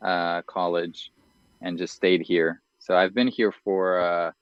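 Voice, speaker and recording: A young man from the United States, raised in France, a podcast guest talking casually, quick and hesitant with many fillers. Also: sits low, level tone, clean tone, no echo, lo-fi sound. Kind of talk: casual interview chat.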